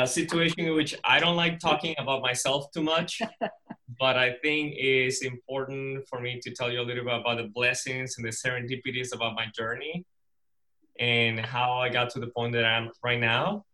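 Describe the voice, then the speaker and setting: A man's voice: medium pitch, pushed and bright, low-quality recording. A middle-aged man from Venezuela, a guest speaker telling the story of his career to an audience. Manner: storytelling, with restarts and laughs. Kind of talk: personal story talk to an audience.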